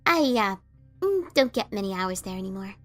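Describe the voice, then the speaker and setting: A woman's voice: medium pitch, sing-song, a little fast, fairly bright tone, faint music behind. A woman voicing an earnest, slightly sheepish young pegasus mailmare in a dramatic fan fiction reading.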